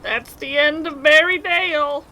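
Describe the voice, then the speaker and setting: A woman's voice: high-pitched, a little slow, bright, forceful tone, slight background noise, low-quality recording. A woman playing a tough, brusque, streetwise gangster's sidekick in an audio drama. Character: tough, curt gangster henchwoman.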